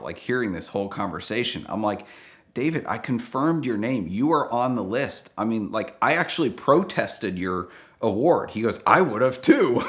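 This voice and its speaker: A man with a low voice telling a car story casually, acting out the dialogue as he goes. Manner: animated, acts out the dialogue in his story.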